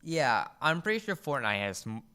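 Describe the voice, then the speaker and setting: Very low, smooth-voiced young woman. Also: big pitch swings, moderate pace, bright, forceful tone. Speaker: podcast co-host. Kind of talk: casual gaming chat.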